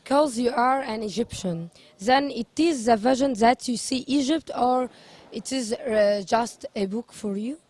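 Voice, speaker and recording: A woman's voice: on the high side, unhurried, a little mellow. A woman hosting an interview, giving a prepared introduction and asking questions. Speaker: interviewer, host.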